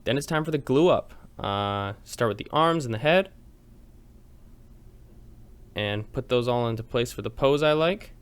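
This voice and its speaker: Guy casually talking thru a craft tutorial, chatty, really clean smooth voice. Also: mid-range voice, lively intonation, unhurried, pauses often. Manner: informal, chatty, explanatory. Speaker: host of a miniature painting tutorial. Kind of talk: casual step-by-step tutorial narration.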